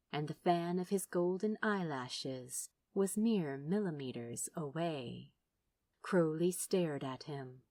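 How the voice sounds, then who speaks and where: A woman narrating fan fiction as a dramatic audiobook, with expressive, theatrical delivery and dramatic pauses. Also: on the low side, dry recording. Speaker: podfic narrator.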